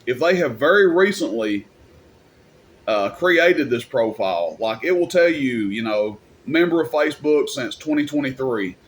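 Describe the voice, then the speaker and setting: A man's voice: on the high side, a little hiss or hum. A man giving casual, off-the-cuff advice in a rambling, plain-spoken, colloquial way, like a YouTube host. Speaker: YouTube host and radio equipment seller.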